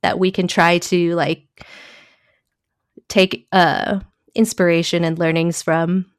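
A woman from Taiwan, a guest in a casual conversation, talking thoughtfully with many pauses, fillers and small laughs. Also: on the low side, flat delivery, smooth, no room sound, clean studio sound.

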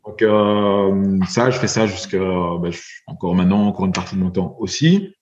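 A man in his forties from Belgium, a podcast guest talking in a halting way, with many fillers and repeated words. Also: low voice, darkish tone, roomy sound, muffled recording. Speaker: guest, investor. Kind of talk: podcast interview.

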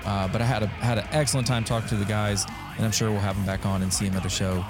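A man's voice: fairly low pitch, fairly even intonation, fast talker, over music. A young man from North Carolina hosting a podcast in casual chat, loose and rambling, swearing and laughing easily. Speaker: podcast host.

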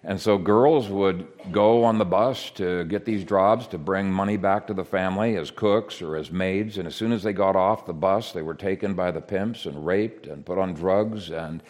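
Deep-voiced man preaching earnestly. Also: darkish tone. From Ethiopia. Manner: earnest, measured preaching with frequent "uh" fillers. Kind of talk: sermon.

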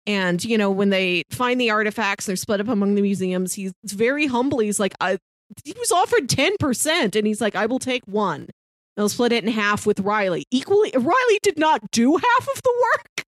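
A woman's voice: mid-range voice, sing-song, normal speed, no echo. A woman co-hosting a podcast in casual film discussion, opinionated in how she talks. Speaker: podcast co-host.

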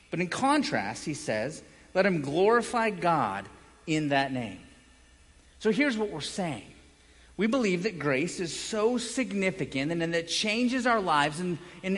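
Male pastor, higher-pitched voice, emphatic sermon delivery with weighty pauses, recorded in a reverberant hall. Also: fairly bright tone. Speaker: pastor preaching. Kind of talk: evangelical sermon. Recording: echoey hall with long reverb.

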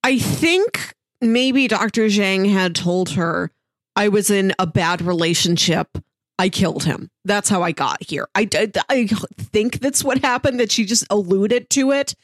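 A woman's voice: medium pitch, unhurried, no echo, studio quality. A woman co-hosting a podcast, chatting casually about a story. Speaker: podcast co-host. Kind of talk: casual chat about a comic series.

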